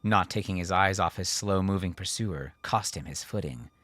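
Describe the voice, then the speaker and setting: A man with a low voice narrates a dramatic story. His delivery is measured and deliberate, in the manner of a scripted audiobook. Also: a bit bright, no echo.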